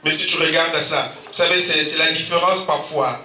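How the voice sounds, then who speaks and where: Echoey preaching pastor with a cold. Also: male voice, on the high side, fairly even intonation, brisk, bright, forceful tone, a little hiss or hum. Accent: Central African French. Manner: preaching with frequent amen and alleluia calls. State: sore throat and a cold. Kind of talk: sermon. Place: church hall. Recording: echoey church from a distance.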